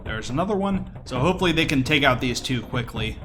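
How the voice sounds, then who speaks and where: A man's voice: mid-range voice, animated, fast talker. A man giving casual live commentary on a video game speedrun, explaining things as he plays. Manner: explanatory, talking through the run as it happens. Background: video game music.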